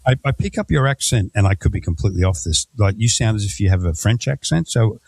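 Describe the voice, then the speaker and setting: A man's voice: fairly low pitch, expressive, fast talker, creaky, a little mellow, breathy edge. A man hosting a podcast, chatting casually and asking his guests questions. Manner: conversational, asks questions. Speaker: podcast host. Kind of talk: casual interview chat.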